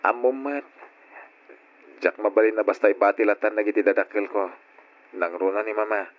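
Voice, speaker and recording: An actor playing a patient, burdened grown son who cares for his ailing parents, speaking gently and earnestly in an old-time radio drama style. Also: fairly low pitch, fairly even intonation, moderate pace, natural voice. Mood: pleading. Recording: dull lo-fi radio drama recording.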